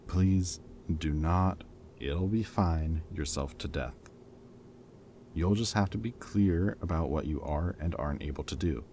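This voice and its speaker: A man's voice: low-pitched, unhurried, warm tone, a little hiss or hum. A man playing a teasing but caring ex-villain in an intimate roleplay audio drama, speaking in casual, wry banter. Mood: worried, caring. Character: teasing, caring male ex-villain planning with old hero friend.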